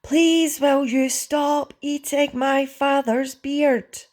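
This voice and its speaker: A man's voice: sits high, huge pitch swings, moderate pace, darkish tone, husky, voice pushed up, exaggerated voice. A man voicing an earnest young Viking boy, pleading and trying to sound firm. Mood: frantic, pleading.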